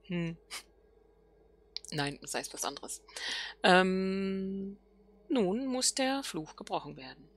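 A woman giving casual game commentary, musing softly and half whispering to herself. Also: mid-range voice, lively intonation, slow, long pauses. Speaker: let's player.

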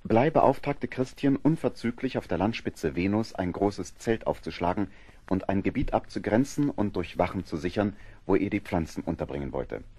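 A man narrating an audio drama from a script in a measured, factual storytelling manner. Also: sits low, animated, mellow, breathy edge, dry recording, soft background music.

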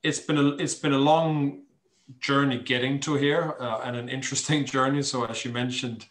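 A middle-aged Danish man with a mid-range voice tells his story as a guest on a video call. He speaks English with a Danish accent, pausing often and picking his way through phrases. The room around his computer mic is noticeably echoey. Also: mid-range voice, steady tone, fairly bright tone. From Denmark. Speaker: interview guest, charity founder. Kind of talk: conversational interview storytelling. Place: small cluttered room at home, indoors.

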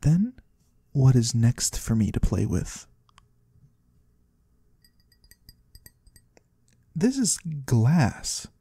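A man's voice: mid-range voice, warm tone, husky, dry recording, full character voice. A man playing a curious otherworldly trader in an ASMR roleplay, musing in quaintly formal words and savoring single ones close to the microphone. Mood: musing, playful. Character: curious otherworldly male trader of the shadowy void. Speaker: ASMR performer. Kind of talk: ASMR roleplay, soft slow close speech to the listener. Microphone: close microphone, dry.

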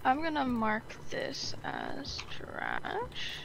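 A woman chatting casually with fellow gamers, slangy, sweary and excitable. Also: fairly high pitch, very slow, vocal fry, noisy background. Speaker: gamer in a co-op group. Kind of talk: casual gaming chat.

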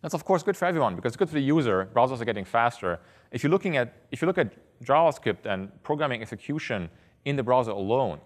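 A man in his thirties giving a conference keynote on stage, talking fast and earnestly, often restarting by repeating a word. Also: medium pitch. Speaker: keynote speaker. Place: large conference hall on stage. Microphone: headset. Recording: reverberant hall.

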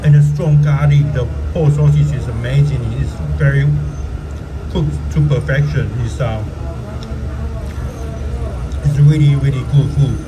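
Man with halting fillers, noisy street. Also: medium pitch, steady tone. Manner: hesitant, many fillers, enthusiastic about food. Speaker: food vlogger. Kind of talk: casual food review vlog. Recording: street noise behind.

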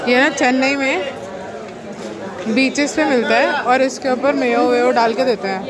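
A fairly high-voiced woman talks in an easy, offhand way while she walks through a night food market. She mixes in English words as she chats. The voice sits under loud crowd chatter picked up by a phone mic. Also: normal speed. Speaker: vlogger touring a food market. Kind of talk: casual chat while walking. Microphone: handheld phone camera.

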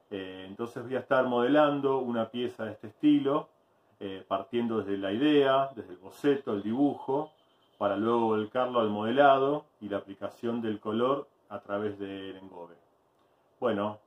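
A man in his forties speaking in a measured, hesitant way with many fillers as he introduces his ceramics workshop from his studio. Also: lowish voice, a little mellow. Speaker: ceramic artist. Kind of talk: promotional self-introduction. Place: studio room with ceramic shelves.

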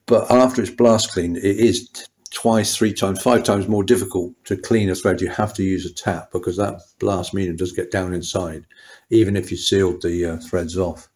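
A man's voice: low voice, steady tone, moderate pace, a little mellow. A middle-aged man, a motorcycle mechanic, talking through a job in a small workshop, unscripted with lots of restarts and fillers. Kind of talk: workshop walkthrough talk.

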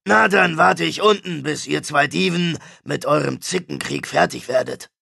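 A man's voice: on the high side, moderate pace, vocal fry, pushed and bright, breathy edge, dry recording, clean studio sound, heavily acted. A man reading an audiobook, voicing a cheeky male sidekick who talks flippantly and mocks lightly.

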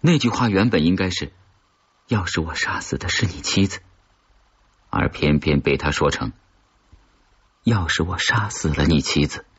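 A man narrating a suspense horror story from a script, with a husky, brooding, dramatic delivery. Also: deep voice, animated, moderate pace, long pauses, a bit bright. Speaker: audiobook narrator of horror fiction. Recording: dull, muffled lo-fi audio.

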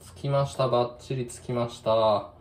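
A man's voice: medium pitch, steady tone, moderate pace. A man giving a casual, conversational hands-on DIY demonstration as a vlogger. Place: indoors at a kitchen sink by a window. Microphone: smartphone set near the sink. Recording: roomy echo, clear running-water noise. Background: running water and room ambience.

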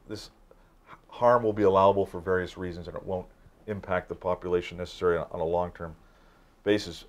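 A man in his sixties explaining things informally as an expert guest in an office conversation, often repeating words as he forms his points. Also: low voice. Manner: halting, repeats words while forming points. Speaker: academic expert, interview guest. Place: small office, indoors.

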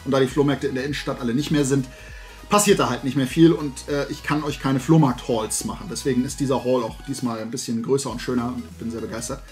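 A man's voice: medium pitch, steady tone, fast talker, soft background music. A middle-aged man chatting casually and enthusiastically in a rambling haul vlog. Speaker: YouTube host and collector. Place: indoor room full of shelved toys.

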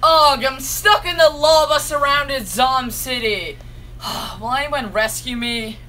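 A woman's voice: high-pitched, slow, background noise. A woman playing an anxious, urgent young hero in an amateur Minecraft roleplay. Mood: worried.

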